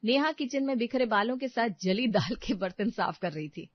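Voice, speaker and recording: A woman's voice: fairly high pitch, lively intonation, moderate pace, no room sound, muffled recording. A woman narrating a scripted domestic story as a storyteller.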